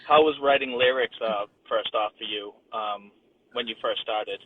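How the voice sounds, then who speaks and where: A man hosting a podcast, asking questions in a halting way and rephrasing with fillers. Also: medium pitch, steady tone, a little hiss or hum. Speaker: podcast host. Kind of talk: casual interview questions. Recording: tinny phone-like line.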